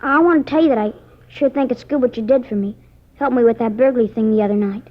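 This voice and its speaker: A young male actor playing a polite, awkward American boy, earnest and halting, in a 1950s radio drama. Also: very high-pitched. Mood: earnest, shy. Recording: muffled 1950s radio recording.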